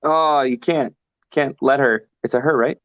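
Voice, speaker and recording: A man's voice: medium pitch, unhurried, a bit bright, dry recording. A man chatting casually as a band vocalist being interviewed online, joking and swearing freely. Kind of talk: casual banter on an online music show. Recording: dull lo-fi call audio.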